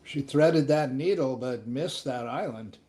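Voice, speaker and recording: A man's voice: medium pitch, unhurried, dark tone. A man speaking as a podcast panelist, musing hesitantly and trailing off as he thinks aloud. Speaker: panelist, pilot. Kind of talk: casual panel chat.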